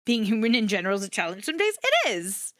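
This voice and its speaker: A woman livestreamer chatting casually and candidly to her viewers, swearing freely and repeating herself for emphasis, in a versatile but slightly rough voice. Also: wildly swooping pitch, a little fast, fairly bright tone, no room sound, clean studio sound. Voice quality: versatile voice, swinging between low and high. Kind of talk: casual rambling chat to an audience.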